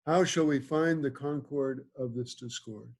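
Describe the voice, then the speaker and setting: A man's voice: mid-range voice, unhurried, natural voice. A man reading the part of a lordly, genial ruler in Shakespearean verse at an amateur table read over video call. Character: lordly, genial male ruler of Athens, a bridegroom. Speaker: amateur reader in a play.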